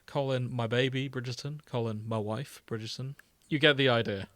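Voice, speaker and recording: A man's voice: medium pitch, steady tone, moderate pace, a bit bright, no echo. A man co-hosting a comedic podcast, chatting casually and bantering with playful mock indignation. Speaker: podcast co-host. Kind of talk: casual comedic chat, reading out fan fiction tags.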